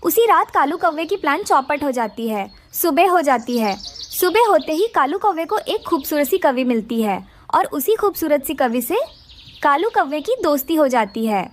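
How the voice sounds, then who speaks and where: High-voiced woman narrating a moral story. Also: very animated, moderate pace.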